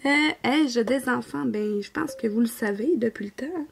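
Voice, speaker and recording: This woman speaks with a Québécois French accent, in a husky, gravelly voice that sits a little high. She rambles and corrects herself, and her sentences often fade into a breathy near whisper. It sounds like casual crafting-vlog chat aimed at her viewers. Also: normal speed. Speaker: diamond painting vlogger.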